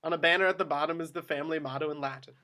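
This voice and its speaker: A man's voice: fairly high pitch, fairly even intonation, rapid-fire, pushed and bright. A man narrating action descriptions from a script at a table read. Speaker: stage-direction narrator.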